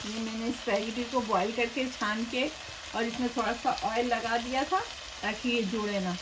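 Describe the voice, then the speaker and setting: A woman's voice: on the high side, steady tone, normal speed. A woman cooking show host patiently walking viewers through a recipe step by step in her home kitchen. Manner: patient, repeats steps, addresses viewers directly. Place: home kitchen, voice over stovetop sizzling. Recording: audible frying and stirring noise.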